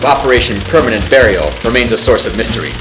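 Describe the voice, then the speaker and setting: A man's voice: lowish voice, animated, reverberant, natural voice, low-quality recording. A man playing a solemn military narrator, reading a mock government film in a formal, pompous manner. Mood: grave, officious. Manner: formal, pompous, deliberate enunciation. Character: solemn male military briefing narrator, grave and officious. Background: music.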